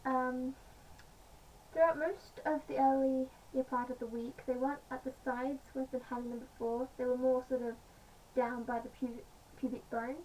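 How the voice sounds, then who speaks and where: A woman with a slightly croaky voice, chatting casually in a home vlog with many pauses and fillers. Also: sits high, little pitch movement, mellow. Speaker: vlogger. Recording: distant mic, faint background noise.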